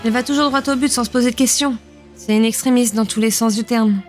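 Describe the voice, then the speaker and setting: Woman acting a quick, direct female leader. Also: fairly high pitch, steady tone, a little mellow, faint music behind, close to an everyday voice. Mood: exasperated. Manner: direct, plain-spoken. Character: principled, exasperated female leader, protective of creatures. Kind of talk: audio drama acting.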